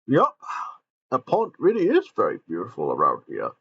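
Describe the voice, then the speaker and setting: A man voicing a clumsy, grumpy bearded character in a home-made storybook play, with squeaky exclaiming and stammering. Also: wildly swooping pitch, normal speed, vocal fry, husky, full character voice. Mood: cheerful. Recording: dull lo-fi recording, faint music.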